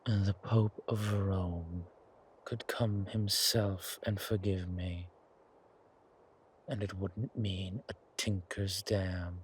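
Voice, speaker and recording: A man playing a suave, menacing, hungry vampire in an ASMR horror roleplay, with a softly taunting, drawn-out delivery. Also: sits low, steady tone, slight background noise. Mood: darkly amused. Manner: slow, drawn-out, softly taunting, often whispering.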